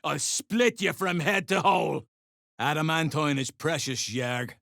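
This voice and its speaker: A gruff dwarven slave-driver from a fantasy game, speaking slowly in a fairly high male register. He is curt and coarse, a threatening mercenary, recorded close and dry. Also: pushed and bright, dry recording, heavily acted. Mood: gruff, boastful. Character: gruff, foul-mouthed duergar slave-driver and herdmaster. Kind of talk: video game character dialogue.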